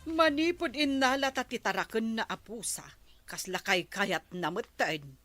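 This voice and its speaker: An actress with an Ilocano accent playing a practical, disapproving village wife in a radio comedy drama. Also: female voice, a little high, a little slow, fairly bright tone, no echo, faint music behind.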